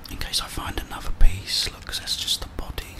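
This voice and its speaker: A man speaking in a soft, breathy whisper close to the microphone, as in an ASMR game playthrough. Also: brisk, over music. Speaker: ASMR gamer and host.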